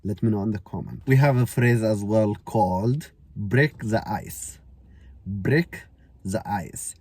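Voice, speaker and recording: A man teaching to learners, repeating each example phrase slowly, with grammar. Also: fairly low pitch, a little mellow, husky. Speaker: English teacher. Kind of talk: casual spoken English lesson. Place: Canada.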